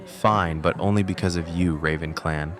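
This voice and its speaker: A man's voice: deep voice, fairly even intonation, normal speed, soft background music, close to an everyday voice. An actor playing a proud, prickly male politician with a curt, sarcastic manner. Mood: sullen, grudging.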